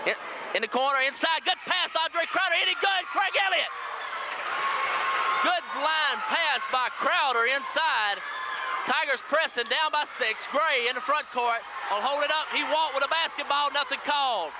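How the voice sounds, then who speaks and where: Male radio sports announcer, very high voice, clipped basketball play-by-play, noisy old local broadcast with a clear crowd behind. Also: normal speed. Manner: clipped running call in short phrases. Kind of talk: live high school basketball play-by-play commentary. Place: school gym during a game. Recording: noisy 1980s local radio audio from VHS. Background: loud gym crowd and noise.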